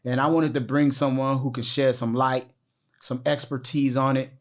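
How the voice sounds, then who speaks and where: A man's voice: medium pitch, level tone, moderate pace. A man hosting a casual podcast interview, playful and slangy, often repeating himself. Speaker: podcast host.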